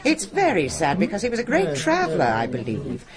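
An actress playing a genteel, chatty English village vicar's wife in a radio drama, polite and gossipy. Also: female voice, mid-range voice, huge pitch swings, fairly quick, crowd noise. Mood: polite, sympathetic.

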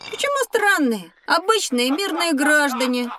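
A woman's voice: sits high, lively intonation, a little slow, far from the mic, noisy background. A woman playing a reckless, carefree schoolboy in an educational children's audio drama, breezy, boastful and dismissive.

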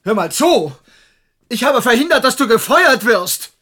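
A man's voice: very high-pitched, sing-song, a little slow, sharp tone, slightly rough, full character voice. A man playing an exasperated but concerned colleague in a radio play, blunt and urgent.

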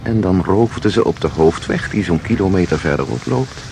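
An actor playing a mysterious, commanding guide in a Dutch radio play, explaining in measured words and giving terse orders. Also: male voice, sits low, lively intonation, vocal fry, background noise. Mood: ominous. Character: mysterious, commanding male guide through a dangerous place.

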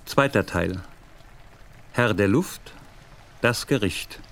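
A man reading the credits of a radio play in a slow, measured way. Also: fairly low pitch. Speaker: announcer. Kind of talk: credits read over music. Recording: loud music bed behind the voice.

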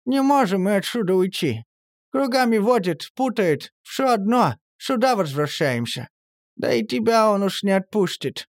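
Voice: mid-range voice, big pitch swings, normal speed, dry recording, studio quality, pitched above the performer's own voice. A storyteller voicing a worried, resigned village aunt who talks with a sigh. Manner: sighing, plaintive.

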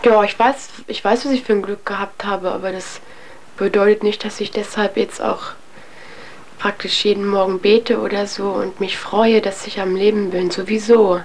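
Young woman, interviewee, mid-pitched voice, candid, colloquial, hesitant and reflective. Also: steady tone, normal speed, slight background noise. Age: young adult. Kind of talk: candid personal account.

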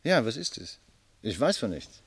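An actor playing a boisterous, mocking young medical student in a German radio drama, theatrical and teasing, swinging from declaiming to muttering. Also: male voice, mid-range voice, sing-song, normal speed, breathy edge, heavily acted. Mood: defensive.

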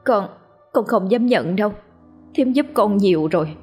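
A woman with a Southern Vietnamese accent voicing a poor, long-suffering young mother who speaks softly, as if holding back tears. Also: medium pitch, expressive, normal speed, husky, soft background music. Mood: tearful, tender. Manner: soft, choked, tender.

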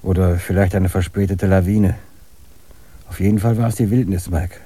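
Dark, husky, calm man. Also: low-pitched, normal speed, dry recording. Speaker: character in a radio play. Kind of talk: radio play dialogue.

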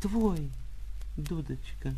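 A man's voice: fairly high pitch, animated, drawn-out words, dark tone, slightly rough, voice pushed up, heavily acted. Man putting on a coy, wheedling landlady. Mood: coaxing, wounded. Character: coy plump blonde landlady, tearful yet grasping.

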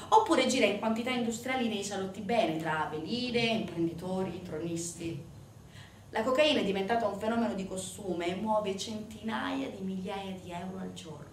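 A woman's voice: mid-range voice, fairly bright tone. A woman performing a theatrical narrative monologue to an audience, speaking in an emphatic, declamatory, rhetorical manner. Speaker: stage actress performing a monologue. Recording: roomy, distant mic, faint noise.

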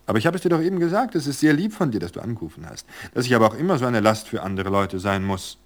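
A man voicing a cheerful, flighty society woman in an audiobook, speaking brightly and breezily. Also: medium pitch, lively intonation, very fast, pitched above the performer's own voice, close to an everyday voice. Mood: breezy cheerful. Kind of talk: audiobook character voice.

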